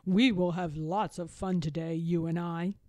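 A playful old grandfather bear acted out by a woman from a storybook. The voice drops low and speaks slowly, with emphatic, boastful delivery. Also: steady tone, darkish tone, dry recording, studio quality, close to an everyday voice. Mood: jolly, emphatic.

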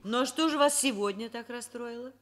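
A woman's voice: a little high, brisk, natural voice. An older actress playing a reflective, kindly and candid woman professor in a Soviet radio play. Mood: concerned, probing. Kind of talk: Soviet radio drama narration. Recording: vintage radio play, music underneath.

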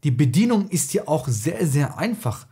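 A man's voice: on the high side, sing-song, moderate pace, warm tone, husky. A man in his thirties talks through a tech product to camera. He explains it casually and colloquially, like an online reviewer. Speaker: tech reviewer, video host.

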